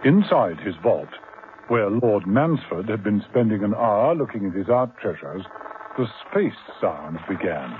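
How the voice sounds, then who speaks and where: A man narrating a spy radio drama with lively, dramatic storytelling emphasis. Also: lowish voice, a little slow, a little hiss or hum. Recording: tinny old radio broadcast with music.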